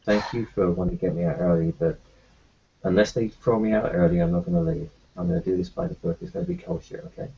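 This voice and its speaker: A man roleplaying his character in a tabletop game, talking in a calm, reassuring, matter-of-fact way. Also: fairly low pitch, quick pace, vocal fry, dull audio, slight background noise.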